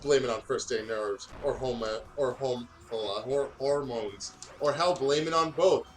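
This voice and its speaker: A man's voice: mid-range voice, measured pace. A man reads fan fiction aloud in a casual way, stumbling over words and breaking off for joking, sweary banter. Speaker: guest reader on a gaming video.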